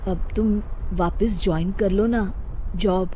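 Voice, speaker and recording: A woman voicing a young husband, hesitant and persuasive, in an animated family story. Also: medium pitch, slow, heavy background noise, natural voice. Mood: coaxing. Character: young husband and dutiful son, easily worried and irritated.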